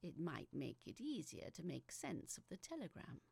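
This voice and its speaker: Woman acting a hushed, creaky-voiced pensive narrator. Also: sits low, huge pitch swings, normal speed, no room sound, full character voice. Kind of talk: audio drama monologue, reading aloud.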